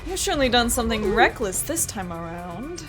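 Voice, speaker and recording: A woman's voice: a little high, sing-song, normal speed. A woman voicing a haughty, devoted female Peacekeeper vice director in a video game, formal and self-important. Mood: cool, official. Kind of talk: reading video game dialogue aloud in character. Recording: loud game music behind the voice.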